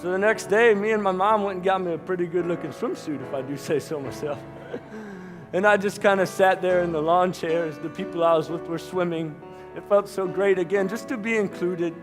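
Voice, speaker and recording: A man's voice: high voice, music in the background, natural voice. A man playing a shy, self-mocking young man in a church stage monologue, joking about himself and then pleading with strong feeling. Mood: wry, upbeat. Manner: quick self-deprecating jokes that swell into impassioned outbursts.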